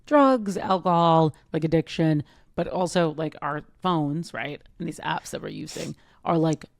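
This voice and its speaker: A woman's voice: low voice, expressive, a little slow, gravelly, a little hiss or hum. A woman in her thirties co-hosting a podcast in casual chat, rambling and filling her talk with "like". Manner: rambling, heavy use of "like". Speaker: podcast co-host.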